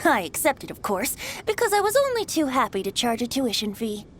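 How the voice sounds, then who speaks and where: A woman's voice: fairly high pitch, big pitch swings, brisk, slight background noise. A woman voicing a cheeky, boyish bard in a video game, theatrical, flowery and playfully smug. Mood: breezy, persuasive.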